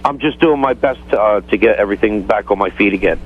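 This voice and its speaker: A man is heard through a narrow, tinny phone connection as a radio caller. His casual talk is halting and earnest, and he sidesteps as he explains himself. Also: lowish voice, slightly rough.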